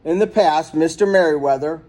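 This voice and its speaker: High-voiced man reading a children's story. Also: normal speed, mellow. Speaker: storyteller reading a picture book. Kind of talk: children's story read-aloud.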